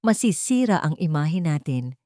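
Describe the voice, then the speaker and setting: A woman's voice: sits low, sing-song, moderate pace, warm tone, no room sound, clean studio sound. A woman with a Filipino accent voicing a snobbish, scheming rich woman with haughty disdain, in a story. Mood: gloating disdain.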